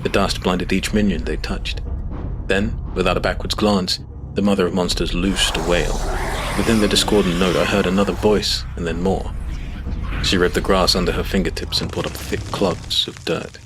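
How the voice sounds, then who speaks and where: A man playing a grave, determined hero, telling his story as dramatic, measured first-person fantasy narration. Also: sits low, level tone, noisy background, close to an everyday voice. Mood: grave, intense. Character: male monster-hunting hero recounting battle, grave, determined. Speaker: narrator and protagonist. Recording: prominent music behind the narration.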